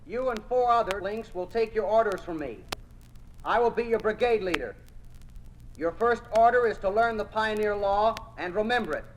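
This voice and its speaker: A man's voice: high-pitched, normal speed, natural voice, low-quality recording. A man playing a zealous, commanding communist youth leader, declamatory, in a 1950s radio drama. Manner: declamatory, authoritative, clipped orders. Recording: old radio recording with faint noise.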